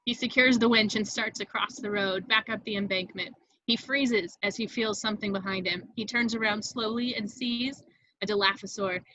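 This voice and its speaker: A woman's voice: medium pitch, fairly even intonation, normal speed, pushed and bright, poor audio. A woman reading scripted stage directions as the narrator of a table read, in a vivid storytelling manner.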